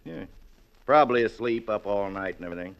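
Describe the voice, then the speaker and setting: An actor playing a steady, practical man who calls out loudly and calms others, in 1940s radio acting. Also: on the low side, brisk, creaky, music in the background. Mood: easygoing. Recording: muffled old 1940s radio recording.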